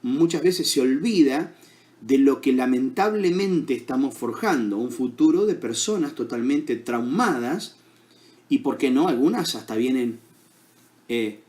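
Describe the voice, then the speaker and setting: A man with an Argentine accent preaching a sermon to his congregation at a slow, deliberate pace. His pitch swings widely as he poses question after question. He fills his pauses with little hums and checks in with tag questions. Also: animated, darkish tone. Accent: Argentine Spanish. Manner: unhurried preaching with many fillers and tag questions. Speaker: pastor.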